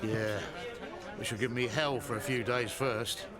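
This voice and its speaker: Sheepish British husband played by an actor, mid-pitched. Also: male voice, fairly even intonation, unhurried, a loud crowd, natural voice. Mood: rueful. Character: sheepish, jealous husband and pub landlord, defensive. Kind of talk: radio soap acting.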